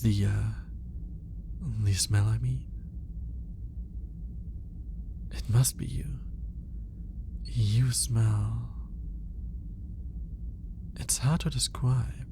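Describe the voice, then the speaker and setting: A man playing a clinical, curious alien scientist in a soft, intimate ASMR roleplay, measured but grudgingly fascinated. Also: on the low side, fairly even intonation, background noise. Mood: flustered, fascinated. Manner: clinical, measured, hesitant when flustered.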